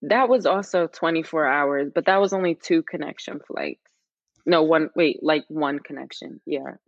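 A woman's voice: sits low, normal speed, dry recording, highs cut off. A woman chatting informally as a podcast guest, in a casual and conversational way.

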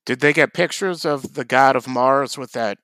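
Gravelly deadpan man. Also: medium pitch, normal speed, no echo. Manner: deadpan, dry jokes. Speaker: podcast co-host. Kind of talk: casual comedic chat.

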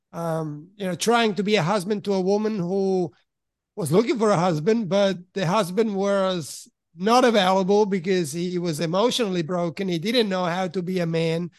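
A middle-aged man from Italy, raised in Switzerland, frankly telling his life story as a podcast guest and often pausing to search for words. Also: sits high, dry recording. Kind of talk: candid personal storytelling in conversation.

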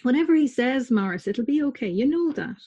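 A woman voicing a kind young man who gently coaxes and reassures his younger brother. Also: sits high, animated, a little fast. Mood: coaxing.

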